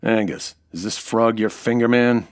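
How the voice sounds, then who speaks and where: A man's voice: fairly low pitch, normal speed, lightly acted. A man playing a wry American nightclub owner and sleuth in old-time radio noir style, dry, tough and slangy. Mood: sardonic.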